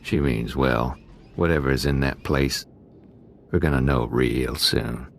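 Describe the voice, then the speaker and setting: Very deep, gravelly scheming gang boss. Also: male voice, a little slow, a little hiss or hum. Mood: confident. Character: gruff scheming male inmate gang boss, breezy and mercenary. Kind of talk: video game voice acting.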